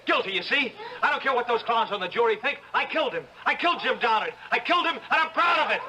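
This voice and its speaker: An actor playing a defiant, manic young hoodlum ranting on trial in an old-time radio drama. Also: male voice, very high voice, lively intonation, fast talker, sharp tone, exaggerated voice, rough recording. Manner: agitated, ranting, taunting.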